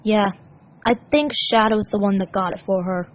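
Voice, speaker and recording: A woman's voice: medium pitch, moderate pace, highs cut off, close to an everyday voice. Grumpy but caring young hedgehog guy for a storybook reading, blunt and earnest, read by a woman. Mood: tender, sheepish.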